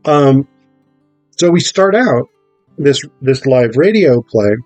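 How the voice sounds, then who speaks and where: A man getting over a cold gives an informal, analytical talk about theatre, thinking aloud in a halting way with rhetorical check-ins. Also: medium pitch, lively intonation, a little mellow, no echo, faint music behind. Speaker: theatre performer explaining a play.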